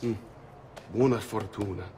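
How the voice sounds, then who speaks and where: An actor playing a shrewd, secretive guide, measured and wry, in a video game. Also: male voice, lowish voice, fairly even intonation, noisy background, natural voice. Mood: instructive. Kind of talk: video game cutscene acting.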